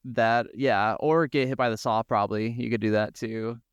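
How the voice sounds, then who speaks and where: Clear-voiced man chatting on a podcast. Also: on the low side, fairly even intonation, moderate pace, dry recording, highs cut off. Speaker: podcast co-host. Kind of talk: casual gaming podcast chat.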